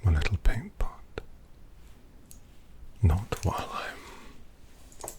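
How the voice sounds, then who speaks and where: A man's voice: low voice, sing-song, exaggerated voice. A man whispering as a possessive vampire painter. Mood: hushed, menacing. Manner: slow hushed whisper, coaxing and menacing. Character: predatory male vampire painter, possessive and teasing. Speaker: ASMR roleplay creator. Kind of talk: ASMR roleplay.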